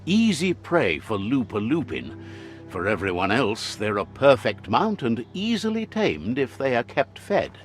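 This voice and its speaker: A man's voice: medium pitch, big pitch swings, a little slow, soft background music. A man giving scripted narration for a video game, a wry storyteller who relays what the characters say.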